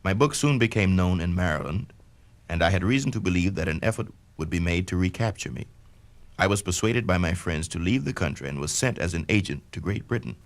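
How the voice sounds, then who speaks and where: A man with a low-pitched voice reads a memoir aloud in the first person, measured and declamatory. His pitch swings widely and expressively from phrase to phrase. It sounds like an old spoken-word record. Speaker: narrator reading a memoir. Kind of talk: dramatic first-person reading of an autobiography.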